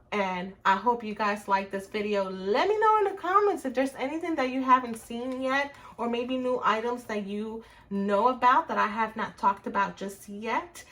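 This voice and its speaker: A woman's voice: fairly high pitch, sharp tone. A middle-aged woman with a Puerto Rican accent, hosting a casual crafting tutorial and chatting to viewers about her work as she goes. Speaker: crafts channel host.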